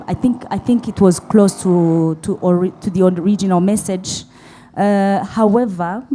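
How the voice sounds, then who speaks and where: A woman playwright from Burundi, answering a festival question slowly, searching for words with many pauses. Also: mid-range voice, warm tone. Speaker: playwright on a panel. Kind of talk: hesitant, thoughtful panel answer. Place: live festival Q&A.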